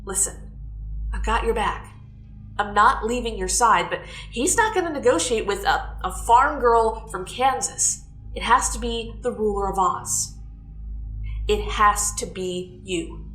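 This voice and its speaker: Blunt, plucky, lively Kansas farm girl. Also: medium pitch, a little slow. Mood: urgent, bossy. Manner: blunt, casual, urgent. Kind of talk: full-cast fantasy audio drama acting. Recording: echoey room, music underneath.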